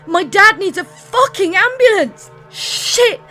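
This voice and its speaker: An actress playing a distressed young student daughter in an audio drama, panicking and emotional. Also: female voice, very high-pitched, lively intonation, takes it slowly, bright, forceful tone, faint music behind, full character voice. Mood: panicked. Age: young adult. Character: frantic young student daughter finding her sick father.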